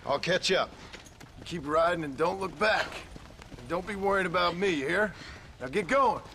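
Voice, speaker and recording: Urgent rancher father, high and forceful. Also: normal speed, a little hiss or hum. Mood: urgent, commanding. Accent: American Western. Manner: urgent, commanding. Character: gruff rancher father urgently protecting his family. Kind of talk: dramatic video game acting.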